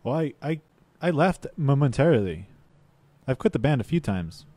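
A man chatting on a podcast as a band guest, telling stories in a lively way and acting out what people said, at a desk mic in a small studio. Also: medium pitch, moderate pace, no room sound. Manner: animated storytelling, acts out quotes. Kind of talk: casual podcast chat. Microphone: desk mic with headphones.

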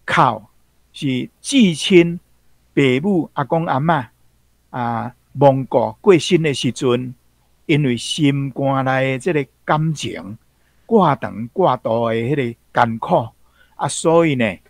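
A man with a Taiwanese accent gives an explanatory commentary like a teacher. He speaks slowly, at a middling pitch, in a dry room. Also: animated, gravelly, no room sound. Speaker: guest teacher explaining vocabulary.

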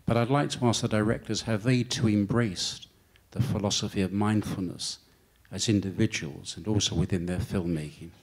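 A man in the audience asks the directors a polite, measured question. His voice is lowish and a touch husky. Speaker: audience member. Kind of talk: asking a question.

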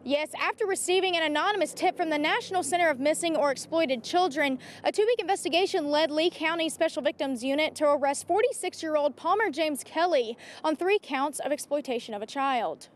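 A young woman works as a TV reporter, her voice high-pitched. She delivers a scripted field report into a handheld mic. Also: a little fast, hardly any pauses, a little hiss or hum. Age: 20s. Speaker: TV news reporter. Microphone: handheld microphone.

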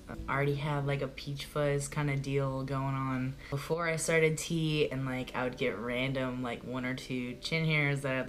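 A young man talking casually to the camera in a small room at home, chatty and rambling with lots of "like" fillers, his voice prone to cracking and going hoarse. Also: mid-range voice, a bit bright. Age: young adult. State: voice goes hoarse easily. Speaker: vlogger. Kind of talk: casual video diary. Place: small bedroom at home, indoors. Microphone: camera in front at close range.

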